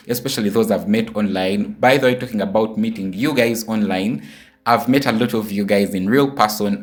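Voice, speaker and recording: A man in his twenties from Uganda, talking casually and directly to viewers in a travel vlog. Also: on the low side. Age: 20s. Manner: chatty, repeats place names, addresses viewers directly. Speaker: vlogger.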